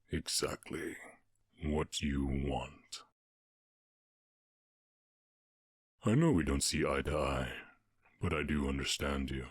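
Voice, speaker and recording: A man voicing a calm, commanding orc warchief in an ASMR roleplay, with a husky voice and a measured, condescending, teasing manner. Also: dry recording, studio quality, full character voice. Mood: calm, stern. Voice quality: very deep, husky.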